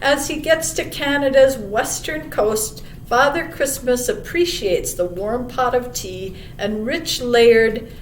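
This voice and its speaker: Slow-paced woman reading to children, roomy sound. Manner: addresses young listeners with tag questions. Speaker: library storytime reader. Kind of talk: read-aloud of a children's picture book with chatty asides. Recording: roomy, distant mic with clear low rumble noise.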